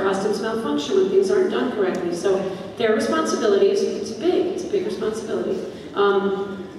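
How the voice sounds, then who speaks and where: A woman's voice: a little high, darkish tone. A woman, a drama director, talking off the cuff to an audience and repeating phrases for emphasis, recorded in an echoey auditorium. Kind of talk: spontaneous talk to an audience. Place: school theater. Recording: echoey auditorium from far off, noisy.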